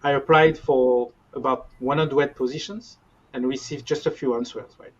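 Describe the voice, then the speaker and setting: A man in his forties, a panelist recalling a past experience in a measured, slightly hesitant way. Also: mid-range voice, steady tone. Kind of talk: conversational recollection. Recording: muffled lo-fi audio.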